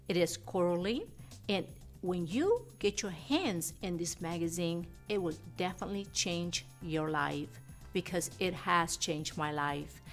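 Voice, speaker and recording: A woman from Mexico hosting a studio TV interview, gushing with effusive praise for her guest. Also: fairly low pitch. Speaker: TV host.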